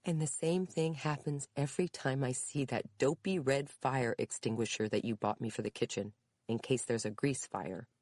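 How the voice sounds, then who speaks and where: A woman's voice: very deep voice, moderate pace, a little mellow, no room sound. A woman reading aloud, voicing an adult daughter who tearfully reassures her mother. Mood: earnest. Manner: emotional, reassuring.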